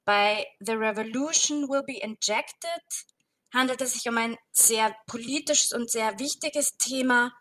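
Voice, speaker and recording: A woman speaking as a jury member, giving earnest, considered commentary in deliberate phrases. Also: on the high side, a bit bright, rough recording.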